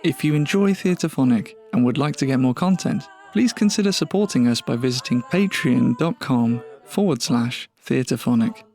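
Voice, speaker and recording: Male podcast announcer, creaky mid-range voice, scripted credits over faint music. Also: medium pitch, animated, moderate pace, few pauses, a little mellow.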